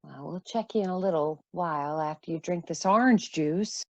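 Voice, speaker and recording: A woman playing a gentle, practical, reassuring foster mother in a radio play. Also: on the low side, moderate pace, no room sound. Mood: concerned.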